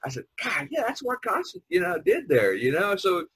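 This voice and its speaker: An older man with a husky, unpolished voice chats casually as host of an artists' group. He rambles along in a conversational way, dropping in "you know" often, over an online call. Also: sits high, wildly swooping pitch, normal speed, a bit bright, no room sound. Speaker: artist hosting a group podcast. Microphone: online video conference call.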